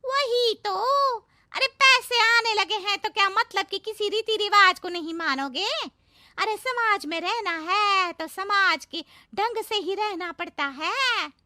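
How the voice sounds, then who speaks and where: Woman acting a shrill, nagging village gossip. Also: slow, bright, forceful tone, dry recording, studio quality, heavily acted. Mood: mocking, scandalized. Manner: nagging, mocking. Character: gossiping, disapproving village women.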